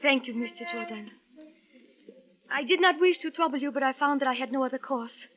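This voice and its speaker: Earnest, formal foreign lady, higher-pitched. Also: fairly quick, fairly bright tone. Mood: polite. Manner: formal, precise, courteous foreign phrasing. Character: polite, earnest, worried Syrian wife seeking her missing husband. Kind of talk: 1940s-50s radio drama acting. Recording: dull old radio recording.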